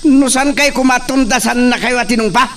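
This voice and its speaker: A man's voice: very high voice, steady tone, a little fast, a bit bright. A man with an Ilocano accent playing a hot-tempered, imperious young master of an estate in a radio drama. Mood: irritated, impatient. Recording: distant mic, noisy cricket ambience.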